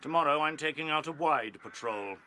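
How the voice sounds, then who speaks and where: An actor playing a commanding rabbit officer in a radio play, clipped, condescending and authoritative. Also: male voice, a little high, expressive, a little fast, pushed and bright.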